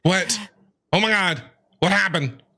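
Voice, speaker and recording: A man's voice: high-pitched, measured pace, creaky, pushed and bright. A man doing casual game reaction commentary, exclamatory and playfully exasperated with the characters as he streams.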